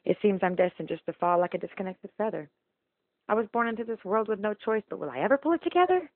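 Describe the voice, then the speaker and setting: Female slam poet, mid-range voice, emotional rhythmic spoken-word delivery, muffled low-fidelity sound. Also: expressive, quick pace, dry recording.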